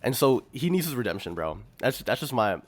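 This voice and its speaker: A man's voice: mid-range voice, very animated, brisk, no echo. A man co-hosting a podcast, chatting casually in a slangy, opinionated way. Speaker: podcast co-host. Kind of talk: casual chat.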